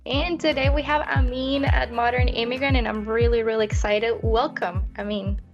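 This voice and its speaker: A woman's voice: on the high side, steady tone, moderate pace, highs cut off, music in the background. A woman hosting a podcast in an enthusiastic, effusive, conversational way. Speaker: podcast host. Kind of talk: conversational podcast presenting and interviewing.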